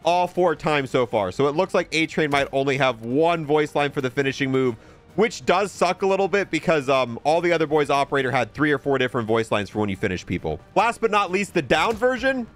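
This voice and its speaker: A man's voice: fairly high pitch, animated, a little fast. A man giving casual, chatty, opinionated commentary as the host of a gaming video. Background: music.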